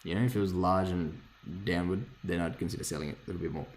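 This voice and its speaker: Hoarse low-voiced man rambling. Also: steady tone, fast talker, slight background noise. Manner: rambling, swears freely, self-correcting. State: hoarse, lost voice from screaming. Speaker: forex trader reviewing charts. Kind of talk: casual thinking-aloud chart review.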